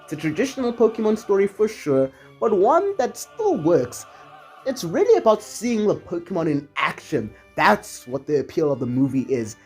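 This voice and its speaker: A video essayist with a slightly husky voice, delivering scripted movie commentary in a conversational way with casually wry asides. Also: medium pitch, very animated, normal speed, a little mellow, soft background music.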